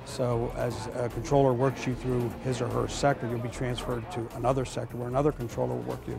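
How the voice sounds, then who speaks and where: A man's voice: mid-range voice, flat delivery, fairly quick, gravelly, music in the background. A man giving explanatory interview answers as an expert, in technical and formal wording. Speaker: air traffic expert being interviewed.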